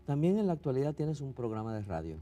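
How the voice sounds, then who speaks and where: Puerto Rican male interviewer with a dark voice. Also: mid-range voice, very animated, fast. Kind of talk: interview questions.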